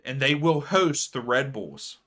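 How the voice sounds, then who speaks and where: A man hosting a live soccer watchalong stream, chatting casually with viewers and ranting about fouls. His voice is going hoarse. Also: medium pitch, big pitch swings, a little slow, fairly bright tone. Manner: chatty, reads chat aloud, rants about fouls. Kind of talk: casual live match commentary.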